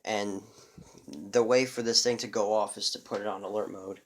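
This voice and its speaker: A man's voice: lowish voice, fairly even intonation. Rambling young man showing off radios. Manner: rambling, halting, lots of fillers and restarts. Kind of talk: casual show-and-tell narration.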